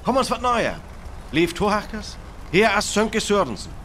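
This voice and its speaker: A man's voice: high voice, big pitch swings, moderate pace, a bit bright, slight background noise. A man playing an urgent, probing radio reporter giving a dramatic live report in a radio play. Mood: brisk, probing. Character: excitable male radio reporter at a crash site.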